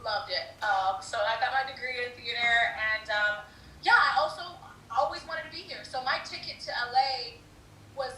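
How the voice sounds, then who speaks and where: A woman's voice: fairly high pitch, normal speed, pushed and bright, roomy sound, a little hiss or hum, poor audio. A young woman from Haiti raised in Miami, talking animatedly and laughing often as an interview guest. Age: young adult. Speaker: guest, performer. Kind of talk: casual interview.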